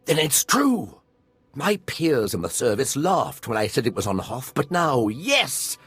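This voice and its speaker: An actor playing a giddy, scholarly tomb-raiding archaeologist in a video game, effusive and theatrical in manner. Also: male voice, mid-range voice, sing-song, a little slow, a bit bright, slightly rough, full character voice. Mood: eager excitement. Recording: music behind the voice.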